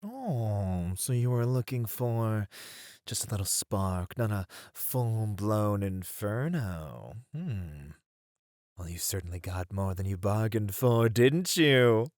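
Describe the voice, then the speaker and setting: Man voicing a teasing incubus, slow and swooping. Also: lowish voice, expressive, darkish tone, no room sound, clean studio sound. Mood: teasing, smug. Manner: teasing, drawn-out, playful delivery. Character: charming, flirtatious male incubus demon, teasing and smug. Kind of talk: flirty ASMR roleplay acting.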